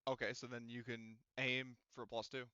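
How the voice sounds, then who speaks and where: A man's voice: medium pitch, level tone, normal speed, pushed and bright, clean tone, no echo, dull audio. A man acting as game master, explaining rules in casual tabletop roleplaying talk.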